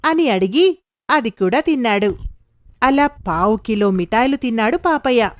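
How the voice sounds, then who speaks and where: A woman's voice: fairly high pitch, animated, moderate pace. A woman narrating a scripted moral story as the storyteller.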